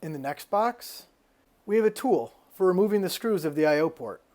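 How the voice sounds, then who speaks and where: A man giving scripted instructional narration as the presenter of an installation tutorial, explaining things clearly step by step. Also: sits high, expressive, moderate pace, a little mellow.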